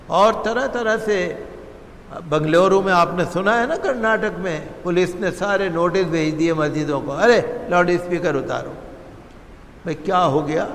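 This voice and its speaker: High-pitched imam in echoing hall. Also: male voice, a bit bright. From India. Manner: oratorical, repetitive phrasing with rhetorical questions. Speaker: imam giving a sermon. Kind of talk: impassioned sermon on public affairs. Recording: long hall reverb.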